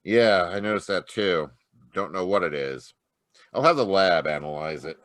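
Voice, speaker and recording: An actor playing a weary, grouchy, sarcastic homicide lieutenant in an old-time radio detective play. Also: male voice, sits low, expressive, moderate pace, a bit bright, dry recording. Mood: businesslike. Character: grumpy, weary male police homicide lieutenant. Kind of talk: 1940s detective radio acting.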